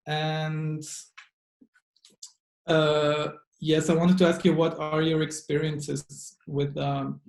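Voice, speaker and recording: A man in his thirties interviewing over a video call from a room with bookshelves, asking long, halting questions with many fillers. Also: fairly high pitch, creaky, a little mellow, echoey room, low-quality recording. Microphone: webcam video call.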